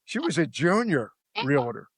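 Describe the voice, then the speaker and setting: Philadelphia man, higher voice, rambling. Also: sing-song, normal speed, slightly rough, dry recording, clean studio sound. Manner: rambling, emphatic case retelling. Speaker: podcast guest. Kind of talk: casual true-crime discussion.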